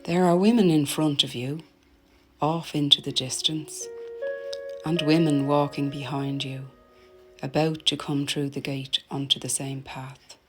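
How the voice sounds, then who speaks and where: Woman, very low-pitched voice, guided meditation, gentle and soothing delivery, soft phrase endings, music behind. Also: level tone, measured pace.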